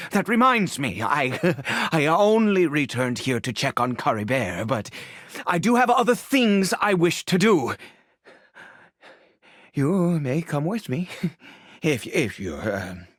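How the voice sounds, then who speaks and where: A man voicing a weary, centuries-old cursed nobleman and father in a video game, speaking formally and haltingly, with grief. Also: on the high side, sing-song, a bit bright, slightly rough, no room sound, studio quality, full character voice. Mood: hesitant, earnest. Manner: halting, hesitant, sighing, formal wording. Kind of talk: dramatic video game voice acting. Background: background music.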